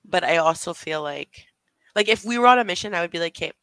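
Female co-host, twenties, lively swinging intonation, slightly low pitch, casual chat. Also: fast, no room sound, rough recording. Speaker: livestream co-host. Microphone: video call.

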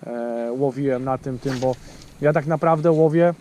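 A man's voice: mid-range voice, normal speed, mellow, slight background noise. A man in his thirties hosting a casual fishing vlog from a small boat, chatting to his friend and talking straight to viewers with lots of fillers. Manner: chatty, addresses viewers directly, many fillers. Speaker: fishing channel host. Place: small boat on a lake outdoors. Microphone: action camera on the boat.

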